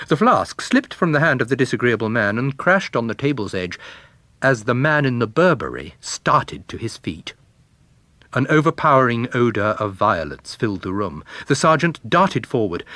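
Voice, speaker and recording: A man's voice: medium pitch, sing-song, moderate pace, sharp tone, dry recording. A man narrating a detective story in a dramatic, scripted reading style. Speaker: narrator and reader.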